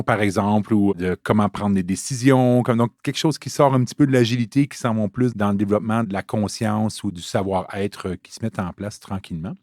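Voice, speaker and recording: A man in his fifties speaks with a Canadian French accent, his voice sitting a little low. He answers interview questions in a relaxed, storytelling way, with the odd "euh" along the way. The sound is dry and close. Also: no echo. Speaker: Scrum trainer.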